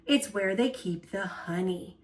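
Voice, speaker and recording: A woman voicing a jolly, excitable old grandfather in a picture-book read-aloud, chirpy and eager. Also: mid-range voice, unhurried, lightly acted. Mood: warm eagerness.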